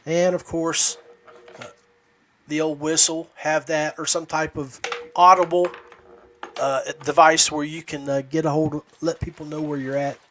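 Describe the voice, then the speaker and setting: A man hosting a podcast, chatting casually and informally in a halting, unscripted way. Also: a little high, flat delivery, a bit bright, a little hiss or hum. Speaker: podcast host.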